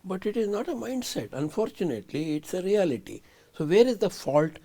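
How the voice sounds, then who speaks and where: An older male scientist makes his points with emphatic conviction and rhetorical questions. His pitch sits a little high and swings widely. The tone is mellow, with a gravelly edge. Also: very animated, a little fast. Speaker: guest scientist. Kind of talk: studio interview.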